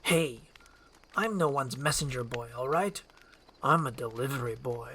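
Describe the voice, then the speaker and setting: A woman's voice: extremely low pitch, unhurried, fairly bright tone, a little hiss or hum, voice pushed down. A woman voicing a grumpy, sarcastic male ogre in an amateur screenplay reading, speaking bluntly. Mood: annoyed, blunt.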